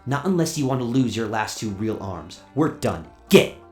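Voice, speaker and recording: A man's voice: medium pitch, sing-song, moderate pace, faint music behind, lightly acted. A man voicing a brash, wisecracking, short-tempered robot gunslinger in a radio play, in a cocky, brusque way. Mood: angry. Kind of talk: radio play character acting.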